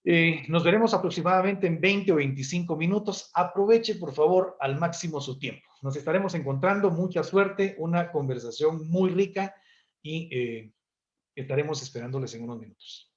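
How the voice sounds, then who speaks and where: Male facilitator, mid-pitched, courteous, many fillers, muffled call audio. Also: moderate pace. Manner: polite, filler-heavy, directing participants. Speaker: meeting facilitator. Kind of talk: moderating an online consultation.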